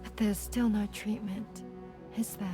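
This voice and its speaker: A woman playing a soft-hearted, tentative young mother-to-be in a naturalistic video game scene. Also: mid-range voice, little pitch movement, measured pace, over music, lightly acted. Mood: tentative, grateful. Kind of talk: naturalistic game cutscene acting.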